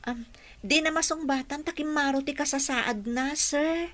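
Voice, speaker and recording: A woman's voice: high-pitched, normal speed, a bit bright. A woman playing an earnest, respectful young nurse in a radio drama, hesitant and deferential. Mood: nervous, defensive.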